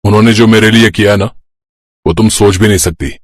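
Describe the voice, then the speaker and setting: A man's voice: on the low side, steady tone, a little fast, no room sound, studio quality, natural voice. A man voicing an earnest, emphatic adult brother in a moral-story cartoon. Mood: earnest, defiant.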